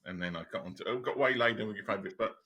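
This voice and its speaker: A man's voice: on the low side, very fast, pushed and bright. A man from Europe hosting a casual interview, asking long rambling questions with many restarts. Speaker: host.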